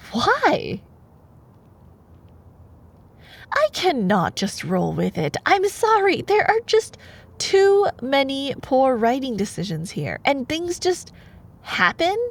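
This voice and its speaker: A woman playing a sweet, playful, opinionated girlfriend in an intimate bedtime-story ASMR roleplay, expressive in her delivery. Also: sits high, exaggerated voice. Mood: exasperated, incredulous. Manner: expressive, exasperated asides between measured reading.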